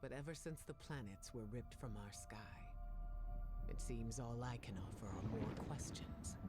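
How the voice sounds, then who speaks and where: A woman playing a composed military leader in a video game, speaking in a measured, solemn, deliberate way. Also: rumbling low. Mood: grave, weary. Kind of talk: dramatic scripted game narration and dialogue. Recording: loud cinematic music behind.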